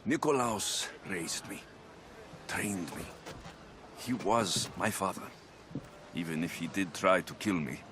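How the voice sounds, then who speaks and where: An actor playing a determined mercenary captain in a video game, firm and quietly pressing. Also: male voice, sits low, expressive, slow, pauses often, background noise. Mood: earnest. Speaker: game protagonist.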